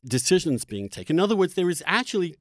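Animated middle-aged man arguing haltingly. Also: high-pitched, dry recording, clean studio sound. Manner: halting, repeats words as he builds arguments. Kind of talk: opinionated political discussion.

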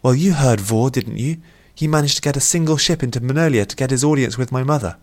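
A man's voice: medium pitch, fast talker, darkish tone, voice pushed up, close to an everyday voice. A man voicing a young princess in an audiobook, poised and playful, with formal, courtly phrasing. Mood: playful, persuasive. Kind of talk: audiobook character voice.

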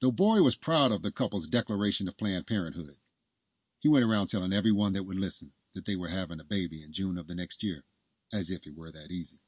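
Husky, low-voiced male storyteller. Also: fast, no echo. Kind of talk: scripted story narration.

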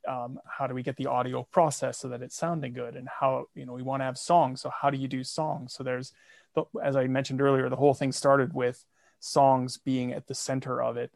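A man's voice: mid-range voice, gravelly, no echo, clean studio sound. A man who is a musician and podcast guest, explaining steadily in casual chat from his home podcasting area.

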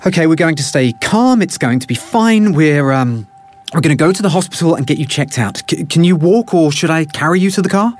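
An actor playing a worried, excitable husband and scientist in an audio drama, stammering with urgency. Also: male voice, a little high, a little fast, faint music behind. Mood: forced calm.

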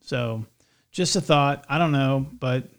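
A man with a slight lisp, chatting casually as a podcast host in a halting way, often restarting his phrases. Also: mid-range voice, creaky. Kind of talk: casual co-hosted podcast chat.

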